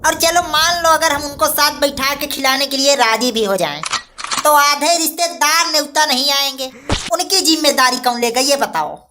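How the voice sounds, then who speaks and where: A woman's voice: sits high, normal speed, sharp tone, slight background noise. A woman playing a practical, hesitant village father in a cartoon comedy, reasoning and bargaining. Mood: worried reasoning. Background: music.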